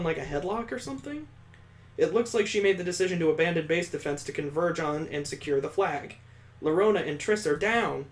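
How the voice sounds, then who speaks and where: A man hosting a let's play, reading visual novel narration aloud dramatically and breaking off for amused, incredulous asides. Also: on the high side. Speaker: gaming channel host doing a let's play.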